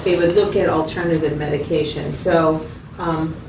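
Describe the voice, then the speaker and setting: A woman acting as a patient mental health clinician with a fairly low voice, talking a client through things methodically. She often checks for understanding. The sound is roomy and picked up from a distance, with some noise. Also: steady tone, normal speed, natural voice. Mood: patient, explanatory. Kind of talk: explanatory one-to-one consultation, role-played. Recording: roomy, distant mic, noisy room sound.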